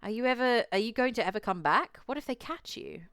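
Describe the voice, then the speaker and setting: A woman's voice: fairly high pitch, lively intonation, fairly quick, a bit bright, clean tone, no echo, studio quality, voice pushed up. A woman voicing an anxious young woman with a worried, questioning manner in a visual novel game. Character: anxious, doubtful young woman fretting for her friend. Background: music.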